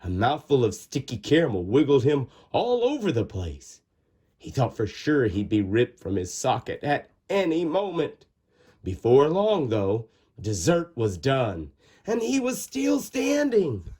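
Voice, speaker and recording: Male children's storybook narrator, slightly husky, highly animated, expressive and theatrical with dramatic pauses. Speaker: storybook reader.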